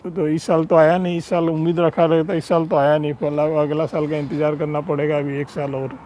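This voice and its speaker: A man's voice: a little high, level tone, brisk, warm tone, a little hiss or hum. Man touring his rooftop garden. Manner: chatty, pointing things out. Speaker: home gardener giving a tour. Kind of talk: casual walkthrough narration. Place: rooftop terrace garden, outdoors. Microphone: handheld phone camera.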